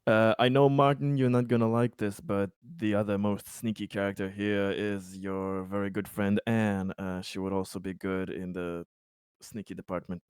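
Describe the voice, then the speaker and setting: A man's voice: lowish voice, little pitch movement, moderate pace, a little mellow, clean tone, dry recording, clean studio sound. A man chatting casually as a tabletop game player, suggesting plans in a tentative, conciliatory way.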